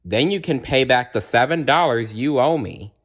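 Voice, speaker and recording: A man's voice: medium pitch, normal speed, muffled recording, voice pushed up, natural voice. A man voicing a cheeky, blunt little sister in a children's storybook.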